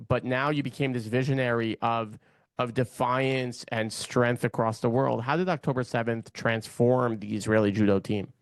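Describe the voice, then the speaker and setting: A young man co-hosting a podcast interview in a casual, conversational way, often repeating his phrases for emphasis. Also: mid-range voice.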